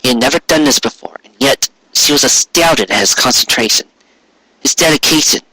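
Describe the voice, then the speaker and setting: A man's voice: mid-range voice, animated, fairly quick, sharp tone, dry recording, rough recording. A man narrating a written story expressively, with earnest, dramatic phrasing. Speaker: story reader.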